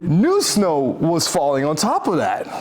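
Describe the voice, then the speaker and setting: A man's voice: on the high side, wildly swooping pitch, a little slow, a crowd in the background. An American man from Philadelphia co-hosting a children's read-aloud, enthusiastic and reassuring, repeating phrases in chatty asides. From Philadelphia, United States. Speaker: co-host reading a children's picture book.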